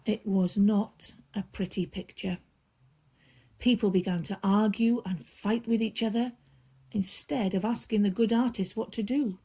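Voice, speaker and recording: A woman storyteller reading a children's bedtime story aloud, expressively, with drawn-out emphasis and hushed drops. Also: mid-range voice, steady tone, husky.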